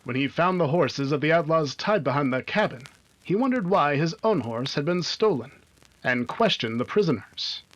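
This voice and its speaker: A man's voice: on the high side, expressive, moderate pace, fairly bright tone, low-quality recording. A man playing the announcer of an old-time radio adventure, narrating with urgent, emphatic drama. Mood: suspenseful.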